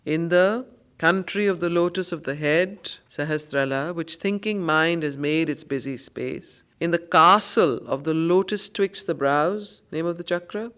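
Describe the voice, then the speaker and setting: A woman reciting and explaining spiritual poetry as a study circle teacher, in a reverent, deliberate, emphatic way. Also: fairly low pitch, fairly bright tone, smooth. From India.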